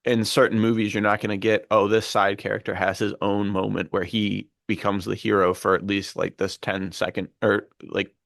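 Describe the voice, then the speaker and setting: A man's voice: on the low side, little pitch movement, moderate pace, creaky, few pauses, fairly bright tone, no room sound, clean studio sound. A man co-hosting a podcast, talking casually with slangy, laid-back enthusiasm. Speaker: podcast co-host.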